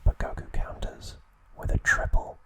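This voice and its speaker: Male, breathy unvoiced whisper, ASMR narration, slow measured storytelling, recap host.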